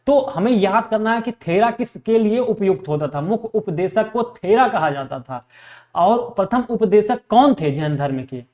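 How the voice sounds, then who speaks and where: A man's voice: high voice, animated, moderate pace, darkish tone, lo-fi sound. A man teaching an exam lesson, repeating key facts and asking questions that he answers himself. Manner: repetitive, asks questions and answers them himself. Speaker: history teacher for exam preparation. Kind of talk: classroom lecture drilling facts for exams.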